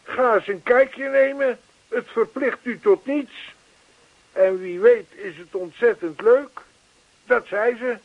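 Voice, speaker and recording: A man's voice: very high-pitched, slow pace, dry recording, full character voice. A man playing an earnest, chatty older neighbour in a radio play, persistent, reassuring and long-winded. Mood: earnest, reminiscing. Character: earnest, chatty older male neighbour zealous about yoga. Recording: thin, phone-like old radio play recording.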